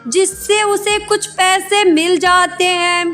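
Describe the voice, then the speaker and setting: Children's story narrator: woman, bright forceful tone, unhurried scripted read, music bed. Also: very high voice, steady tone. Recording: music behind voice.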